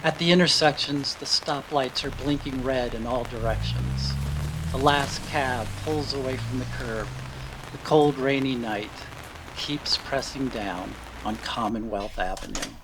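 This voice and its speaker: A man's voice: mid-range voice, vocal fry, noisy background. Man narrating a radio drama. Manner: measured storytelling in present tense, acting out brief quotes. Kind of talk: scripted story narration.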